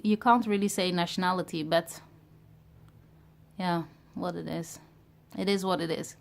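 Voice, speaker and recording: A woman from Ghana in her thirties who grew up in Europe, talking to camera in a reflective, hesitant way about starting a business. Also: lowish voice. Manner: reflective, hesitant, many pauses and fillers. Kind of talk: personal vlog talk to camera.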